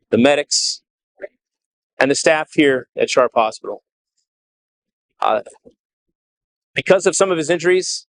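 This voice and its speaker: A man with a mid-pitched voice and a close, dry sound speaks as a police chief at a press conference. His delivery is earnest and measured, halting often as he picks his words. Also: no echo, low-quality recording. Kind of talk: press conference statement and answers. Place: press briefing at a hospital.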